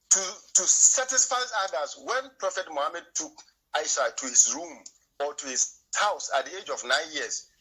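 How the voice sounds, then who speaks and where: A Ghanaian-accented man whose first tongue is Twi argues his point in a heated talk. His voice is bright and husky. He stumbles, circles back and repeats words as he pushes on. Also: a little high, rough recording. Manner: repetitive, halting, argumentative. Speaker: guest. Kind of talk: heated debate.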